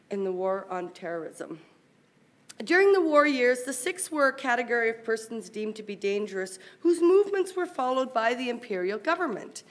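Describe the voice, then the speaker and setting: A woman with a fairly high voice presents a scholarly paper at a conference. She reads formally and in measured phrases, stepping out of the text now and then for a short explanation. She takes care to spell out terms and announce headings. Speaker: academic presenter reading a colleague's paper. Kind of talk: formal conference paper read aloud, with brief explanatory asides.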